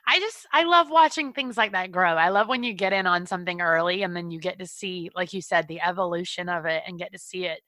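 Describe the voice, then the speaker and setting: Bright, upbeat woman podcast host. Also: on the low side, a little fast, hardly any pauses, no room sound. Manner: upbeat, gushing agreement. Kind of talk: casual interview chat.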